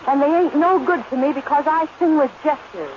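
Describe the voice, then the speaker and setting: A woman's voice: sits high, expressive, brisk. A woman playing a tough, flirtatious saloon singer with slangy, wisecracking drawl in a 1930s radio comedy. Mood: tough, unimpressed. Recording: muffled, noisy 1930s radio recording.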